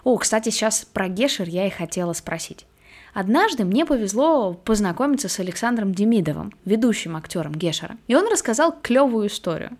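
A woman hosting a podcast, asking her guests articulate, measured questions. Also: mid-range voice, lively intonation, darkish tone. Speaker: podcast host. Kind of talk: interview questions.

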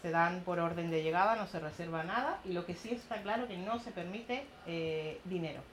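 A middle-aged Chilean woman with a somewhat low voice recounts her neighborhood projects, laughing easily and acting out what others said. The room is echoey, with a faint hum of background noise behind her. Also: brisk. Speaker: community project organizer. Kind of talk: public talk with audience questions.

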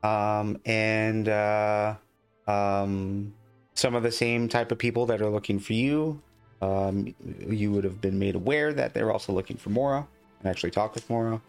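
A man improvising tabletop game narration as game master, speaking haltingly and often repeating words. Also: on the low side, smooth, faint music behind.

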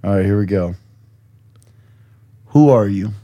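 A young man hosting a podcast, hoarse from screaming, talking in a rambling, profane, jokey way. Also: fairly low pitch, measured pace, dark tone, no room sound. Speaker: podcast host. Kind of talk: casual chat.

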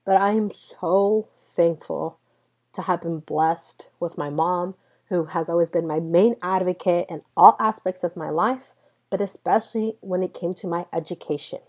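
A woman's voice: fairly low pitch, steady tone, normal speed, darkish tone, slightly rough. A woman in her twenties, a native Spanish speaker, hosting a solo podcast and telling personal stories earnestly while speaking straight to her listeners. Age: 20s. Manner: earnest personal storytelling, addresses listeners directly. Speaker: podcast host.

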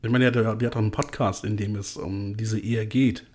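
A man's voice: on the low side, fairly even intonation, brisk, a little mellow. Dry young man in podcast banter. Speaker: podcast co-host. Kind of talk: casual banter.

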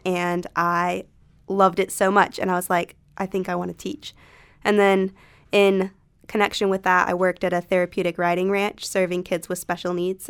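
A woman's voice: lowish voice, clear, resonant tone. A young woman from North Carolina, a college student guest who speaks in a friendly, articulate way. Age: young adult. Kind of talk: casual chat.

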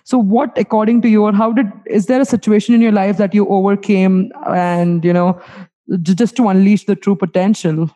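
A woman with an Indian accent hosts a casual interview. Her voice is mellow and dark-edged, without sharpness. She rambles and doubles back on words as she builds each question. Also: medium pitch, fairly even intonation, normal speed, low-quality recording.

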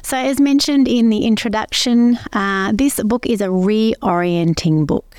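A woman co-hosting a faith podcast, explaining points at length in a steady way. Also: medium pitch, a little slow, clear, resonant tone, no room sound. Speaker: podcast co-host, pastor. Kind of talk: casual faith podcast chat.

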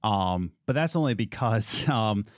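Male podcast co-host, casual, lively pitch jumps, dry close-mic sound. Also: a little slow, no room sound. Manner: swings between low easygoing talk and high excited bursts. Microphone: studio podcast mic.

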